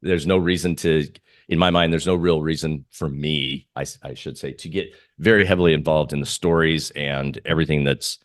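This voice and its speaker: A man with an extremely deep voice talks casually as an interviewed trader. He thinks aloud in a halting way, and the sound is dry with very little room. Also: steady tone, no room sound. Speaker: trader guest being interviewed. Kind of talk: casual interview talk on markets.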